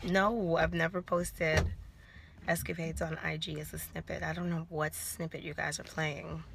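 A woman's voice: deep voice, normal speed, gravelly, noisy background. A young woman chatting casually with her livestream viewers from a car, holding her phone at arm's length and cursing now and then. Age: young adult. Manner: relaxed, chatty, answers viewer comments, curses. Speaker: livestream host. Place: inside a car.

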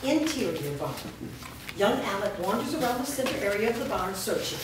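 Female stage directions reader: mid-pitched scripted narration, heavy room echo, noisy recording. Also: very animated, fairly quick. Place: bare indoor room with small audience. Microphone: far camera. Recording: echoey room, distant mic, noisy.

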